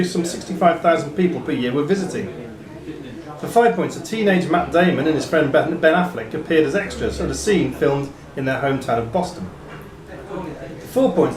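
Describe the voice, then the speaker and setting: A man reading quiz questions to players as quizmaster, in a measured, formal way with dry asides. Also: a little high. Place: pub room during a live quiz. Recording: echoey pub room, chatter behind.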